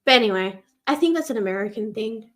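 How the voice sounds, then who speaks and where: A woman's voice: fairly high pitch, lively intonation, fast talker, fairly bright tone. A woman in a casual podcast chat, rambling along in a loose, conversational way. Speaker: podcast co-host. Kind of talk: casual chat about books.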